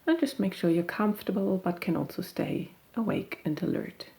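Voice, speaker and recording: A woman guiding a meditation in a gentle, unhurried, soothing manner, leaving long pauses between phrases. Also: fairly low pitch, creaky. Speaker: meditation guide. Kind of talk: guided meditation.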